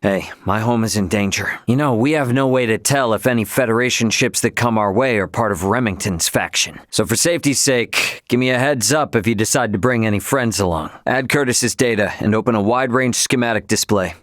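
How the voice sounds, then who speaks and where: An actor playing a brash, hot-headed but loyal young starship captain in a video game, with a blunt, cocky, slangy delivery. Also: male voice, mid-range voice, a little fast, hardly any pauses, a bit bright, no echo, clean studio sound, close to an everyday voice. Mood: serious, businesslike. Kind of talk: voiced video game character lines.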